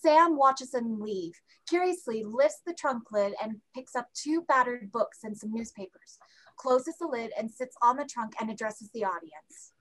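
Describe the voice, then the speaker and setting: A woman's voice: a little high, normal speed, fairly bright tone, poor audio. A woman reading stage directions as scripted narration for a play reading over a video call.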